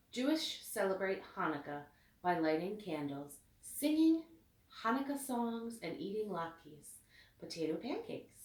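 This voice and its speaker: A woman reading a storybook aloud with expressive, deliberate phrasing meant for children. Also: medium pitch. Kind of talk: read-aloud storybook narration. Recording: echoey room, distant mic.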